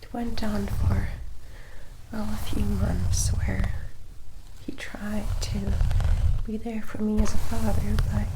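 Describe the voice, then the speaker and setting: A woman playing a gentle, formal vampire attendant in an ASMR roleplay, speaking in a soft, soothing and caring manner. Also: medium pitch, background noise, lightly acted.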